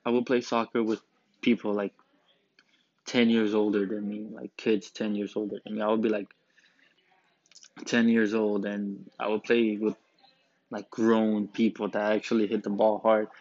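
A young man from Romania in his late teens hosts a student podcast, rambling and joking in a laid-back, casual way. His voice sits a little low. The recording is dull and lo-fi, with a dry, close sound. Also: flat delivery, normal speed, pauses often, a little mellow, no room sound. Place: back room of a school.

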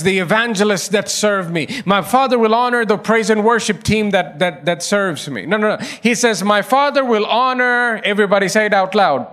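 High-voiced preaching man. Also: a bit bright. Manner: preaching with rising emphasis and repetition. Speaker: pastor. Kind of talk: sermon.

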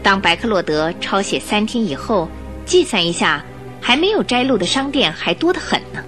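A woman narrating a radio drama, reading a scripted story in an expressive, dramatic storytelling manner. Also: mid-range voice, normal speed, soft background music.

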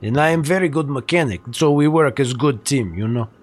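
A man's voice: mid-range voice, brisk, lightly acted. A man voicing a boastful village mechanic who speaks broken English. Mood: eager.

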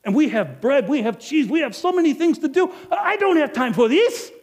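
A man performing a greedy, self-justifying innkeeper in a first-person monologue, swinging between loud bluster and hushed confession. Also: mid-range voice, very animated, normal speed. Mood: flustered. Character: greedy self-justifying male Bethlehem innkeeper, middle-aged. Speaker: storyteller performing a character monologue.

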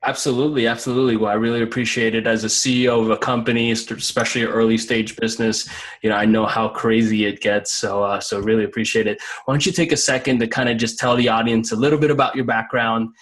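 A man hosting a casual interview, speaking effusively and a little haltingly. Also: medium pitch, steady tone, fairly bright tone. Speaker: interviewer, show host.

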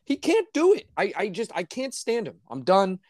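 A man co-hosting a casual sports podcast, hoarse and ranting with exasperation, his voice climbing when worked up. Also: high-pitched, huge pitch swings, moderate pace, darkish tone, no room sound, clean studio sound. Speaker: podcast co-host.

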